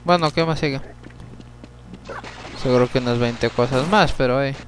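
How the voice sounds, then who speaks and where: A young man giving chatty gameplay commentary, talking to himself, swearing at the game and breaking into sudden yells. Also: medium pitch, moderate pace, slight background noise. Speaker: let's play gamer.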